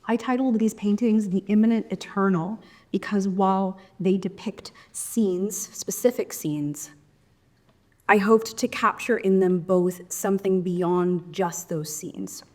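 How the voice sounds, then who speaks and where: Woman, painter, measured devotional read, mid pitch. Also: darkish tone. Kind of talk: reading a prepared artist statement.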